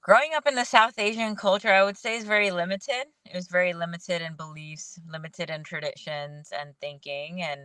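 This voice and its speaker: She is a woman whose first language is Hindi. Her voice has a bright, sharp ring. She speaks in a reflective, candid way and laughs often. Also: lowish voice, fairly even intonation, moderate pace, few pauses, clean tone, no room sound. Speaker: guest. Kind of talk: casual video-call conversation. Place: outdoors in the rain.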